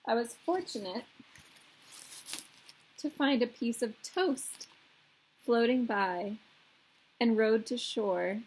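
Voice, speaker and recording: A woman's voice: a little high, slow pace, long pauses, darkish tone, slight background noise, low-quality recording. A woman hosting a children's storytime, reading aloud expressively in simple phrases for young listeners.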